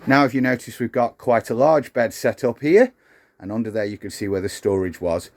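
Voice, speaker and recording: A man with a mid-pitched voice presents a practical walkthrough. He talks methodically, setting out each step as he demonstrates it, in the unscripted manner of an instructional video. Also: a little fast, darkish tone. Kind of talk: hands-on demonstration, unscripted explanation.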